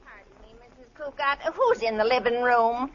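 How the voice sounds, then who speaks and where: An actress playing a loyal, disapproving maid in 1940s radio comedy, prim and deferential. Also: female voice, fairly high pitch, lively intonation, normal speed, fairly bright tone. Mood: concerned. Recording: dull old radio recording.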